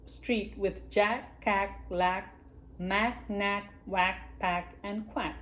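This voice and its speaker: A woman reads a children's picture book aloud in an unhurried, storytime manner, pausing now and then to address young listeners. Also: medium pitch, steady tone, fairly bright tone. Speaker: library storytime reader.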